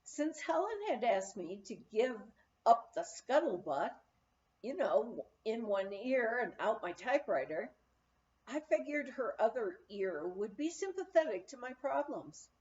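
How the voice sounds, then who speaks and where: Female audiobook narrator, higher voice, unhurried storytelling delivery, slightly dull recording. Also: big pitch swings, a little mellow. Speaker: audiobook reader. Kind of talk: scripted memoir narration, read aloud.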